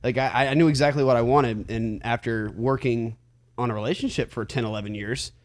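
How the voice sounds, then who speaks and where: A man's voice: mid-range voice, fairly even intonation, fairly quick. A man in his late twenties, a musician, talking in an earnest, reflective way with lots of fillers in a casual podcast chat. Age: 20s.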